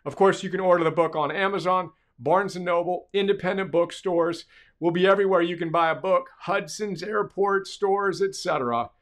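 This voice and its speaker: Upbeat middle-aged man, high voice. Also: moderate pace. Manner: upbeat, energetic, gesturing while talking. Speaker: author and host. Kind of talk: reading a book excerpt, then promoting it. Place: dark studio with portrait wall.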